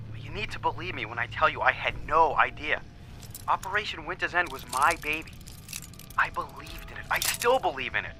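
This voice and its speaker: Apologetic male detective's colleague, very bright forceful voice, defensive and earnest, game drama, slight noise. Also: mid-range voice. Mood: earnest apology. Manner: earnest, pleading. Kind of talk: dramatic video game voice acting. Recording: faint background noise.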